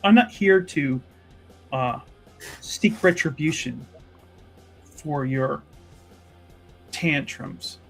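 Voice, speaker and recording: A man plays a composed fantasy noblewoman in a tabletop roleplaying game, pitched a little high. The words come out measured and halting, diplomatic yet pointed. Faint music runs underneath. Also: lively intonation, gravelly, slightly rough, full character voice. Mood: dry, pointed. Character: composed noblewoman confronting a rival mage, courteous but pointed. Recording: music behind the voice.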